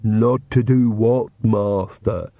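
Servile old Gothic manservant. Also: male voice, on the low side, fairly even intonation, takes it slowly, dark tone, dry recording, dull audio. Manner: dutiful, affected speech.

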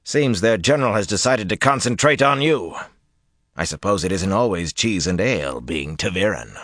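A man's voice: low-pitched, animated, moderate pace, a bit bright, no room sound, clean studio sound, voice pushed up. A man voicing a weary, wry veteran general with a blunt soldier's manner in an audiobook. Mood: urgent, wry.

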